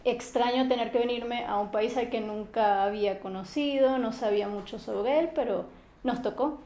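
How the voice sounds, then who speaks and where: A teenage woman from Maracaibo with a Venezuelan accent, speaking calmly and in a measured way as she is interviewed. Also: on the high side, echoey room.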